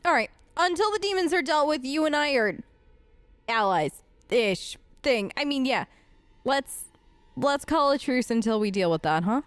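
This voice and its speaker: Wry, higher-voiced woman playing a game. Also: very animated, measured pace, smooth, no room sound. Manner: wry, conversational, speaking in character to the game. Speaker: let's play host. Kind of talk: casual role-play game commentary.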